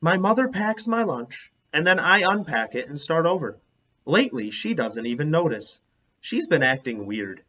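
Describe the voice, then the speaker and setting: A man voicing a fussy, bossy schoolgirl who worries about germs, with emphatic drawn-out words in a storybook reading. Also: fairly high pitch, sing-song, bright, forceful tone, exaggerated voice. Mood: matter-of-fact. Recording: dull, lo-fi sound.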